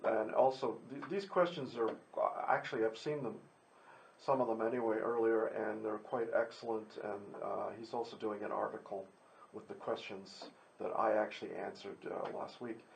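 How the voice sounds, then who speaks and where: A man's voice: lowish voice, steady tone, moderate pace, gravelly. A man hosting a live radio interview in a casual, chatty, rambling and courteous way. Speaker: radio host. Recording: noisy, with background ambience.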